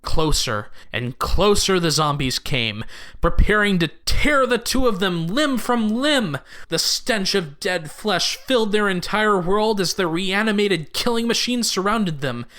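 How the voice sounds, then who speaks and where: A fairly high-pitched storyteller played by a man with a bright, forceful voice. He narrates an action-filled audio drama in an emphatic, dramatic way. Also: animated, a little slow, exaggerated voice. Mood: dramatic storytelling. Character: dramatic storyteller narrator who gets flustered.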